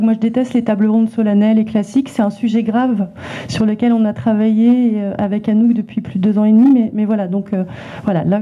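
A woman's voice: on the high side, warm tone. A woman illustrator presenting her work at a library talk, hesitant with many restarts. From France. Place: library event room with small audience. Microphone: handheld microphone passed around. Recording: tinny phone-like sound.

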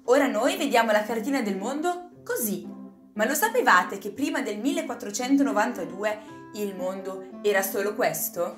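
A woman narrating a scripted children's story in an expressive, dramatic storytelling manner. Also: mid-range voice, moderate pace. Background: music.

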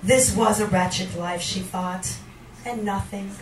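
A middle-aged woman reading poetry live in a theatrical, deliberate manner into a handheld microphone in a small bar room. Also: mid-range voice, fairly even intonation. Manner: theatrical, slow and deliberate when reciting. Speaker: poet, featured reader. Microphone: handheld microphone, captured by a camera in the room. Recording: roomy, noisy bar recording from a distance.

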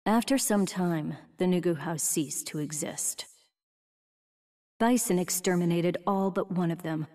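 An actress playing a focused female agent who narrates in a calm, matter-of-fact way in a game cutscene. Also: fairly low pitch, measured pace, recorded from a distance, lightly acted. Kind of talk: video game voice acting.

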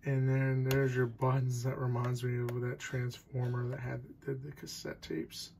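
A man's voice: mid-range voice, completely flat delivery, moderate pace, creaky, darkish tone, a little hiss or hum. A man casually showing off an item for sale at a table indoors, loose and chatty, trailing off and restarting his sentences, recorded on a phone camera. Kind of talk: casual product demo. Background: radio and tape music playing.

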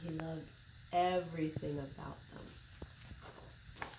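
A woman in her sixties reads to children in an unhurried, expressive way. Her voice is soft-edged and mellow at a medium pitch. She is recorded a few feet from the camera in an echoing indoor room with faint background noise. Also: creaky. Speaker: library storyteller. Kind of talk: picture book read-aloud for children. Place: small public library room, indoors.

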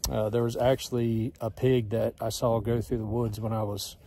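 A man in his forties with a Texan accent, filming himself on a phone while bowhunting, talking in a relaxed, plain-spoken way. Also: medium pitch, moderate pace, slight background noise. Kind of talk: casual self-filmed hunting vlog. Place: pickup truck cab and outdoors on a farm. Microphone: phone camera at arm's length.

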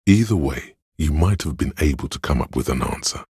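A man voicing the cold, dry inner logic of a detective in a video game, measured and analytical, with a gravelly, husky delivery. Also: very deep voice, expressive, a little mellow, no room sound, full character voice. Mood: sardonic, detached. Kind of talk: scripted video game voice acting, second-person narration.

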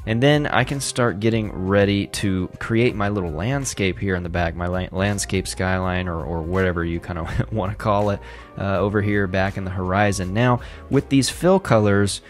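A man in his thirties hosting a design tutorial, talking in a relaxed, chatty way with fillers and light laughs into a close studio mic. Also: sits low, moderate pace, faint music behind. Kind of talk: casual screen-recorded tutorial. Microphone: studio microphone close up.

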